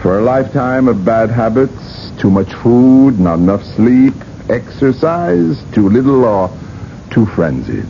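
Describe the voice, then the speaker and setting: An actor playing a blunt, candid physician in a radio drama, speaking in a measured, dry way. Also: male voice, mid-range voice, big pitch swings, creaky. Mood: frank, measured. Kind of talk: 1980s radio drama acting. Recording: muffled, hissy vintage radio broadcast.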